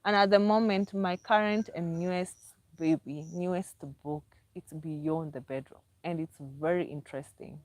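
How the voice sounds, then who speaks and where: A woman's voice: lowish voice, steady tone, normal speed, darkish tone, dry recording. A Kenyan woman hosting a casual livestream, chatty and repetitive as she greets viewers by name and promotes her books. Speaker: livestream host and author.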